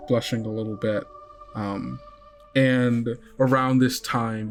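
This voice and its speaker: A man narrating as game master of a tabletop podcast, unhurried and often pausing on fillers. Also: mid-range voice. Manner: unhurried, hesitant with many fillers.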